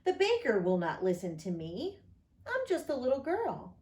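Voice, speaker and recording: A woman's voice: a little high, wildly swooping pitch, moderate pace, a little mellow, reverberant, voice pushed up. A woman voicing an earnest, easily discouraged little girl from a children's picture book.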